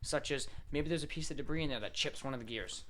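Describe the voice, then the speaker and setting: A man's voice: medium pitch, fast talker, a bit bright. A man co-hosting a podcast, explaining things in casual, informal conversation. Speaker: podcast co-host. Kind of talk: casual tech chat.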